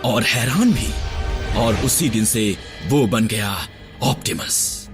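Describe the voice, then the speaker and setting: A man voicing a grizzled old male robot medic in a dubbed cartoon, recounting history in a measured, lecturing, somber way. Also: fairly low pitch, expressive, over music. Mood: solemn. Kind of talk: Hindi cartoon dub. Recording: studio dub with loud score.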